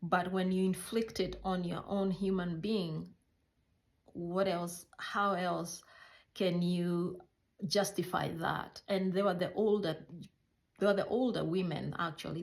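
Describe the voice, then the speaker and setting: A middle-aged woman with a Kenyan accent telling personal stories in a halting, reflective way, searching for words; English is not her first language. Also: fairly low pitch. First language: a Kenyan mother tongue. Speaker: healthcare worker recounting her life. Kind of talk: personal storytelling.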